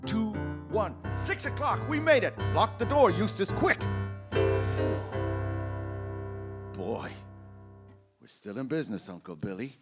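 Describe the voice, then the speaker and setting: An actor playing an earnest, impassioned young small-town manager in an old-time radio drama, with an American accent and a stammering, pleading delivery. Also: male voice, high-pitched, big pitch swings, normal speed, loud music behind the voice. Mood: jubilant. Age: young adult.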